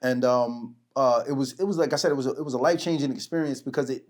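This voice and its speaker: A man hosting a podcast, telling stories in a rambling, animated way and often pausing to search for names. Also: medium pitch. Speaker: podcast host. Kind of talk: casual reminiscing chat.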